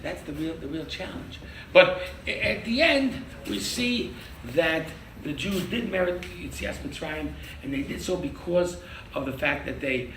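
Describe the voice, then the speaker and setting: An older man teaching a lively interactive Torah class as a rabbi, quizzing his audience and joking. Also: on the high side. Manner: animated, quizzes the audience, jokes. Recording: echoey room from far off with noise.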